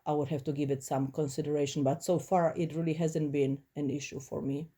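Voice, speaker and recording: A Polish-accented woman in her forties answers interview questions in English, her second language. She talks in an earnest, reflective way, with a mellow, warm tone. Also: deep voice, little pitch movement, fairly quick. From Poland. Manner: earnest, reflective, slightly non-native phrasing. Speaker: interviewee, first-generation immigrant.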